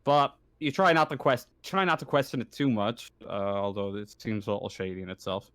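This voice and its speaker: A man narrating a tabletop roleplaying game as game master, improvising in a halting way and often rephrasing himself. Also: lowish voice, a bit bright, clean tone, no room sound.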